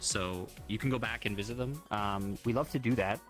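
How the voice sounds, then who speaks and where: A man's voice: lowish voice, moderate pace, faint music behind. A man hosting a casual livestream, rambling and upbeat with lots of fillers and restarts. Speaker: livestream host.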